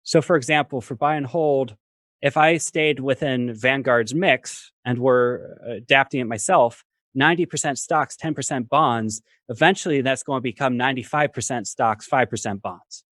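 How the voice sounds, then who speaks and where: A man with a middle-range voice talks as a guest professor on a video call. He explains things methodically, working through examples in a measured way. The sound is dry, with little room around it. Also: medium pitch, a bit bright, dry recording. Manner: measured, reasons step by step with worked examples. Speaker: finance professor, guest expert. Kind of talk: explanatory talk on a livestream.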